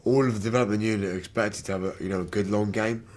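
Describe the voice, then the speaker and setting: Very low-voiced young British man, rough throat. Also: steady tone, rapid-fire, darkish tone. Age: 20s. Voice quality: damaged, rough voice from throat surgery. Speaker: wheelchair rugby player. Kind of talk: candid documentary interview. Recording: background noise on location.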